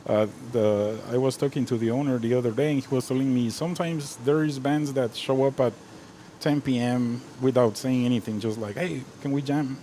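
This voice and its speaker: Easygoing chef born in Guatemala, mellow. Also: male voice, medium pitch, steady tone, brisk, slight background noise, poor audio. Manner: easygoing, many "you know" fillers. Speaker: restaurant chef. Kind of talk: casual interview chat.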